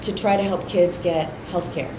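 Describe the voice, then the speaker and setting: A woman's voice: fairly low pitch, steady tone, normal speed, echoey room, noisy background. A middle-aged woman from Iran, raised in San Francisco, speaking steadily as a district attorney candidate in an interview, with frequent fillers. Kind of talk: endorsement interview.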